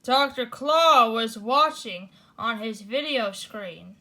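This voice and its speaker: Slow, bright-voiced woman reading a bedtime story. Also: a little high. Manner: slow, animated, comments between passages. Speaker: storyteller host.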